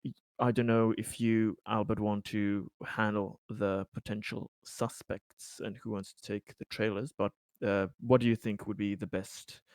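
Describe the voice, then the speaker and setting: A man with a fairly low voice is playing a tabletop roleplaying game. He speaks haltingly, feeling for his words as he describes what his character does. The sound is dry, with hardly any room to it. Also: flat delivery, darkish tone, no echo.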